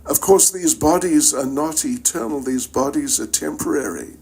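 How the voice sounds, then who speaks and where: An older man with a New Zealand accent gives an informal spiritual lecture in a halting, reflective, conversational way, checking in with his listeners. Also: on the high side, animated, gravelly, a little mellow, breathy edge. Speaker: spiritual teacher giving a lecture. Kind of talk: informal religious lecture to an audience.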